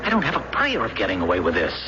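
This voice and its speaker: A frightened American fugitive, low-voiced but swinging widely as he stammers nervously. The old radio drama sound is muffled, with loud noise behind. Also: wildly swooping pitch, racing. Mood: bitter, afraid. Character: frightened escaped American prisoner of war, anxious fugitive.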